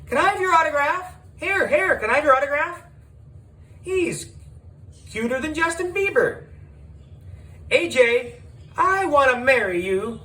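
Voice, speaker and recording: A man voicing excited, gushing young female fans in a children's audiobook. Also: very high voice, measured pace, long pauses, pitched above the performer's own voice, heavily acted. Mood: frenzied, adoring. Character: shrieking young female fans mobbing a boy celebrity.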